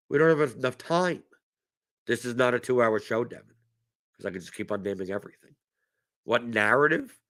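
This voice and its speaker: Guy hosting a fantasy sports stream, bright forceful voice, kinda hoarse and coughy, rambles and restarts a lot. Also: mid-range voice. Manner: rambling, repeats words while restarting thoughts. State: losing his voice, coughing. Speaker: fantasy sports strategy show host. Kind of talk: casual livestream talk answering chat.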